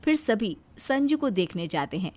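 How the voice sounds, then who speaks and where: A woman's voice: medium pitch, very animated, moderate pace, a little mellow. A woman narrating a scripted moral story as a storyteller.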